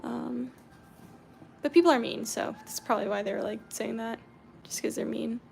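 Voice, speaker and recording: Young American woman from Georgia, high-pitched and very gravelly, chatty livestream host who murmurs while she paints. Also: normal speed, faint music behind. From Georgia, USA. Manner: chatty, reads viewer comments aloud, drops to soft murmurs while painting. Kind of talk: casual livestream chat.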